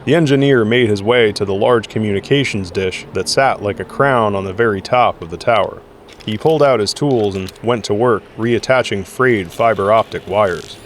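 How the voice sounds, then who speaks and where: A man's voice: lowish voice, normal speed, a little hiss or hum. A man reading scripted dramatic story narration as the narrator of an audio drama.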